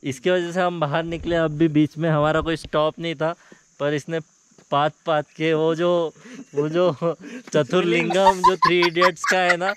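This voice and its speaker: A man's voice: fairly high pitch, flat delivery, a little slow, a bit bright. A man hosting a casual travel vlog outdoors in a cold mountain valley. He talks in a chatty, joking way and slips English phrases into his speech. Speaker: travel vlogger host. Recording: outdoor noise with passing vehicles.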